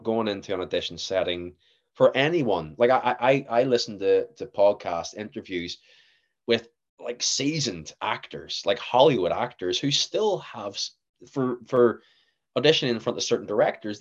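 A man in his twenties with a Northern Irish accent, an actor chatting as a podcast guest, lively and acting out what others said to him. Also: lowish voice, normal speed, lo-fi sound. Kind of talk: casual interview chat.

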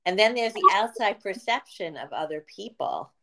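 A woman's voice: medium pitch, animated, moderate pace, bright, forceful tone. A female business coach hosting a podcast, explaining marketing earnestly with a chatty, um-filled delivery. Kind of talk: casual podcast chat.

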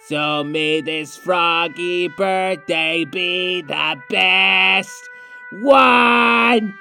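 A man playing an odd singing-telegram frog with a creaky, gravelly voice in a comedic radio drama. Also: high voice, extremely slow, sharp tone, soft background music, heavily acted. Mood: earnest singing.

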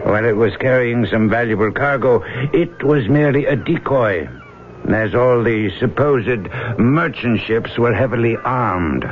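Man playing a brisk, blunt old-radio ship owner, muffled. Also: mid-range voice, slight background noise, lightly acted. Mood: emphatic storytelling. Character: wealthy, driven older ship owner, blunt and wry. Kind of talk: old-time radio drama acting.

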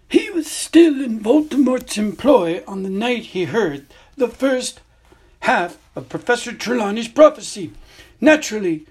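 A man voicing a calm, grave old male wizard headmaster in a gentle, measured way, with a husky voice. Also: high-pitched, voice pushed up, heavily acted. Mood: solemn.

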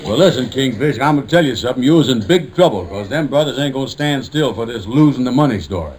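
A man's voice: medium pitch, animated, fast, lightly acted. Man playing a folksy, blunt lodge pal, faint noise. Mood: blunt warning. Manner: folksy ungrammatical speech with pompous big words. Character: easygoing, girl-chasing, blunt lodge brother, male. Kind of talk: 1950s radio sitcom acting. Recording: slightly noisy 1950s radio recording.

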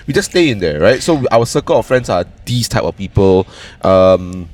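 A man's voice: on the low side, sing-song, normal speed, darkish tone. A young Malaysian man co-hosting a podcast in casual, opinionated chat, often checking in with "right?" and repeating words for emphasis. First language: Cantonese. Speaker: podcast co-host.

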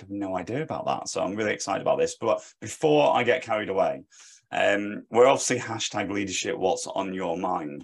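Man, podcast host, husky and hoarse, very low voice, gravelly edge, upbeat. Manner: upbeat, enthusiastic, some restarts. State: hoarse from a sore throat. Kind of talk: casual interview chat.

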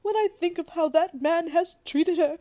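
A woman's voice: very high voice, moderate pace, mellow. A woman playing a melodramatic, self-pitying middle-aged mother in a radio drama, wailing and overwrought. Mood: wailing, tearful.

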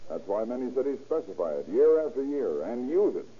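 Man playing a very dark-voiced American chemist. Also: mid-range voice, big pitch swings, a little fast, natural voice. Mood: assured. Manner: matter-of-fact, salesmanlike. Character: assured male police chemist endorsing a product. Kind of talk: scripted 1930s radio advertisement. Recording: dull, lo-fi 1930s radio recording.

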